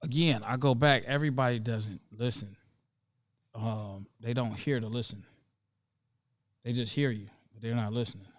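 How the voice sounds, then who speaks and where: A man's voice: mid-range voice, steady tone, no room sound. Laid-back man hosting a live chat. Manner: laid-back, calls out viewers by name, drawn-out emphasis. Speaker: livestream host. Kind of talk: casual livestream chat.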